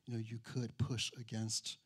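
A middle-aged man, speaking reflectively in a panel discussion, pausing and rephrasing as he goes into a handheld mic. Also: mid-range voice, monotone, a bit bright, breathy edge. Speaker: writer and critic on a panel. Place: auditorium stage. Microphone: handheld microphone held near mouth.

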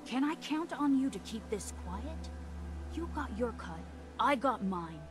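A curt, guarded smuggler. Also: female voice, a little high, very animated, measured pace, music in the background. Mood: guarded, businesslike. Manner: curt, businesslike. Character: guarded, tough woman smuggler scavenging wrecks. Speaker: game character. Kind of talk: video game voice acting.

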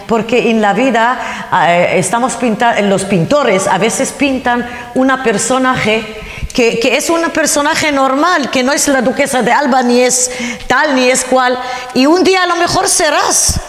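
A woman's voice: high voice, a little slow. A woman with a foreign accent co-hosting a TV interview, gushing with compliments and effusive praise for her guests. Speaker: TV show co-host. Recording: echoey hall with long reverb.

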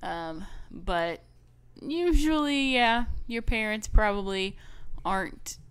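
The smooth-voiced, easygoing, giggly woman on a movie podcast, reacting and asking questions as her co-host recaps. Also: medium pitch, slow, a bit bright. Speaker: co-host of a movie discussion show.

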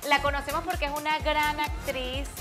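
A woman's voice: sits high, flat delivery, moderate pace, over music. A woman in her thirties from Venezuela, hosting a TV chat show with effusive praise for her guest. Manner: effusive, warm-hearted praise, eager. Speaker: TV show host. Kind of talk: casual interview chat. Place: TV studio set.

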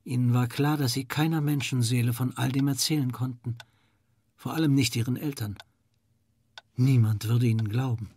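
An actor playing the solemn narrator of a children's mystery audio drama. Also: male voice, mid-range voice, steady tone, moderate pace, mellow, breathy edge, lightly acted. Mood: hushed, solemn.

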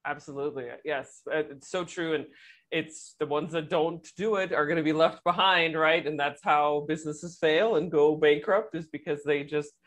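A woman's voice: low voice, fairly even intonation, normal speed. A woman hosting a podcast interview, agreeing enthusiastically and asking her guest questions. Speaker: podcast host.

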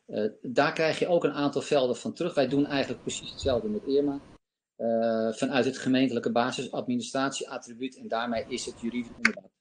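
A man's voice: mid-range voice, steady tone, a little fast, background noise. Hesitant man presenting on a video call. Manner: hesitant, many fillers. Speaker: company presenter. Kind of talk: live software demo and Q&A. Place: home office, indoors. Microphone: laptop webcam on video call.

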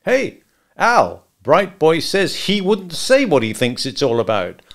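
A man voicing a sardonic gangster hitman who taunts a captive with slow, mocking talk. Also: fairly high pitch, huge pitch swings, vocal fry, voice pushed up, heavily acted. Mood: taunting.

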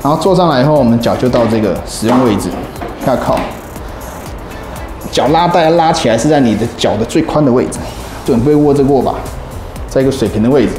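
A fast-talking man, explaining step by step how to use a rowing machine. His pitch is ordinary for a man. The room sounds roomy, and music plays clearly in the background. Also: lively intonation. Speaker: fitness equipment instructor. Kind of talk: instructional demonstration. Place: small indoor office gym.

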